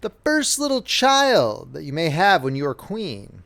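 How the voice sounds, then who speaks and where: A man's voice: on the high side, wildly swooping pitch, unhurried, vocal fry, a bit bright, exaggerated voice. A man voicing a droll, sly little male hobgoblin in a storybook reading, wheedling and singsong. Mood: sly, bargaining. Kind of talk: storybook character voice in a fairy-tale reading.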